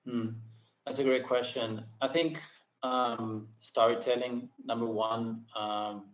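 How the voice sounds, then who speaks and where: A man's voice: mid-range voice, steady tone. Man from Argentina, echoey room. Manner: quick bursts, many ums, restarts. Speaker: podcast guest. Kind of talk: casual interview conversation. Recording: roomy echo.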